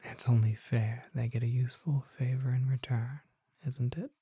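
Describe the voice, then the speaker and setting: A performer voicing a courteous, mysterious vampire merchant in an ASMR roleplay, speaking in a breathy whisper with formal, polite phrasing. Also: medium pitch, fairly even intonation, mellow, no room sound. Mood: gentle, courteous. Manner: formal, polite, unhurried, old-fashioned phrasing.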